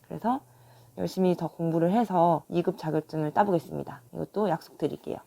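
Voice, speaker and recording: Young woman vlogger, hoarse and gravelly from a sore throat, low mellow voice, calm and sincere. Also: a little fast. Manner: calm, earnest. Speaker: online seller and vlogger. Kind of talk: calm vlog talk to viewers.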